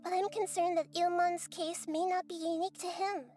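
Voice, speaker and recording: Young goddess, very high voice, calm, soft-spoken, game scene, music behind. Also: female voice, moderate pace. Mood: concerned. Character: gentle wise young goddess in girl form. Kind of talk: video game voice acting. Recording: game audio with background music.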